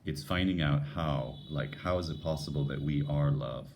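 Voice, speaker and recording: A man with an unusually deep, radio-like voice, talking earnestly and musing to his viewers about faith, with frequent restarts. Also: moderate pace, darkish tone, slight background noise. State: voice lowered by a passing illness. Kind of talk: unscripted devotional talk to viewers.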